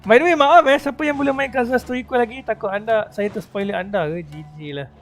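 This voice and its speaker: A man's voice: extremely high pitch, rapid-fire, fairly bright tone, faint music behind. A young man with a Malaysian accent, a game streamer chatting and reacting casually, playful and slangy, switching between languages. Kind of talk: casual livestream chat and reactions.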